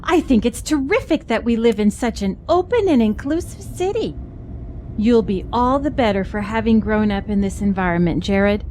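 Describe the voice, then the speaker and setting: A woman's voice: fairly high pitch, animated, normal speed, warm tone, slight background noise, close to an everyday voice. A woman acting a proper, image-conscious mother in an audio drama, prim and emphatic. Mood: self-satisfied approval.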